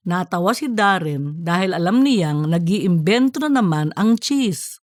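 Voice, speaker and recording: Lively-pitched woman narrator, very dry sound. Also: a little slow, no room sound. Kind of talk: scripted comedic audiobook narration.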